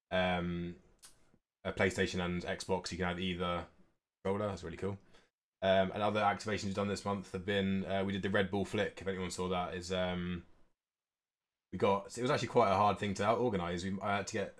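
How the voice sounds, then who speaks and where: A man giving a casual, unscripted business update as a host, speaking informally and a little hesitantly. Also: deep voice. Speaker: esports organisation co-owner hosting an update stream.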